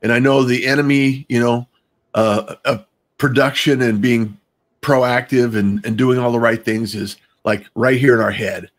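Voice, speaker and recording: A man hosting a casual interview show, framing ideas in a halting, rambling way with repeated words. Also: medium pitch, fairly even intonation. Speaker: show host.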